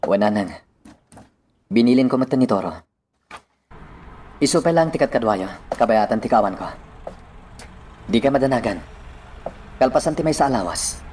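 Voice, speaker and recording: An actor with an Ilocano accent playing an earnest grown son in a radio drama, plain and reassuring. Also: male voice, mid-range voice, expressive, normal speed, long pauses, darkish tone, slight background noise, lightly acted. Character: earnest grown son speaking to his mother.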